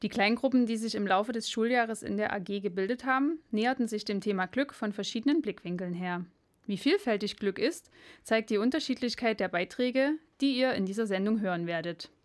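A woman leading a school radio club, co-hosting the show and reading a prepared script in a clear, even way. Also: mid-range voice, fairly quick.

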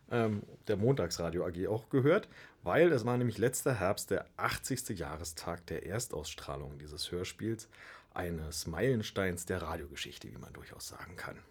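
A man's voice: on the low side, darkish tone, breathy edge. A man hosting a radio show casually, chatty and a little hesitant in his phrasing. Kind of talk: casual magazine show presenting.

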